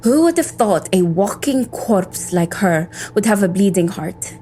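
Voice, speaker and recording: An actress playing an irritable, sarcastic cybersecurity operative in an audio drama, with a dry, cynical way of talking. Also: female voice, mid-range voice, expressive, measured pace, mellow, slight background noise, natural voice. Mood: sardonic.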